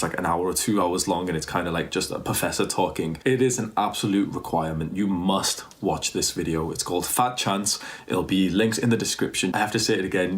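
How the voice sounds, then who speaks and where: Male fitness vlogger, low voice, throat worn from talking, casual and pushy. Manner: earnest, repetitive, pushy sales talk. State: strained, tired throat. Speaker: fitness YouTuber and diet coach. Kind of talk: casual vlog monologue.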